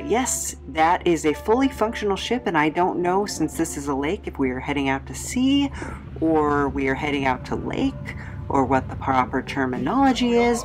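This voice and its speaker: A woman's voice: low-pitched, low-quality recording. A woman narrating a casual, chatty travelogue as host, with playful, wry, rambling asides. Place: studio voice-over.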